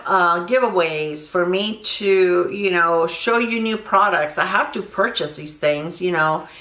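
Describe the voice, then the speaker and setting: A woman's voice: medium pitch, fairly bright tone. A Cuban-born woman in her sixties, chatting candidly to camera as a beauty vlogger, rambling with many fillers. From Cuba. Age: 60s. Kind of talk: casual chat to camera. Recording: echoey room.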